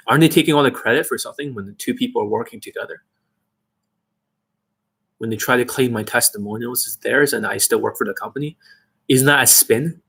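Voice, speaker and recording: A young man speaking straight to his viewers in a blunt, swearing rant. He fires off rhetorical question after rhetorical question in a husky voice at an ordinary pitch. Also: a bit bright. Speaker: dating coach. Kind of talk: ranting monologue to camera.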